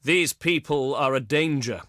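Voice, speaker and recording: A man playing a haughty, wry ancient Greek diplomat in a strategy game, with pompous, courtly delivery and dramatic pauses. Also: mid-range voice, fairly bright tone, no room sound, clean studio sound, close to an everyday voice. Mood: earnest, concerned. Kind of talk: theatrical scripted game dialogue.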